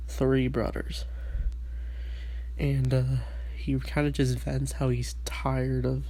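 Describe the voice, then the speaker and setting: A man's voice: medium pitch, darkish tone. A man with a stuffy, under-the-weather voice, eagerly recapping a cartoon episode in a casual solo review.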